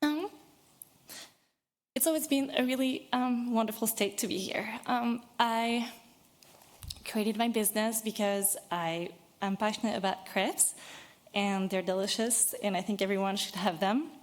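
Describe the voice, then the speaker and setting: A woman's voice: mid-range voice, measured pace. Earnest French-born woman addressing a board. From Brittany, France. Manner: earnest, a bit nervous, many fillers. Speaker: business owner addressing a city board. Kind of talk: public comment at a meeting.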